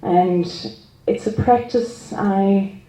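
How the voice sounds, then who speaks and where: A woman's voice: medium pitch, fairly even intonation, drawn-out words, dark tone, roomy sound, rough recording. Laughing woman sharing at a gathering. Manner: self-deprecating, laughs often, many restarts. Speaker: group speaker sharing experience. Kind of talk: casual personal sharing at a gathering. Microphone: handheld microphone.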